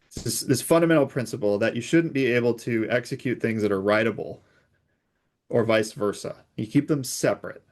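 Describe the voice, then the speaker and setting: Man, medium pitch, conversational technical explainer, measured interview guest. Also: a little mellow. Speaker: guest, security researcher.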